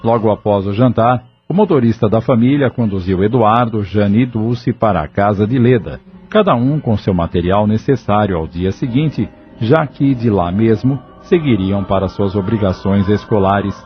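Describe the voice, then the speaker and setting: A man's voice: lowish voice, moderate pace. A man reading scripted narration for a dramatised audio novel. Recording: dull lo-fi audio novel recording.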